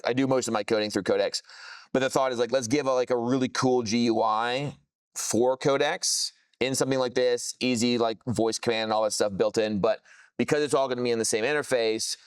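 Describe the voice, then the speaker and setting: A man's voice: medium pitch, smooth, no room sound. A man giving an informal product demo, explaining technical things casually with plenty of jargon. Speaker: software founder presenting a product.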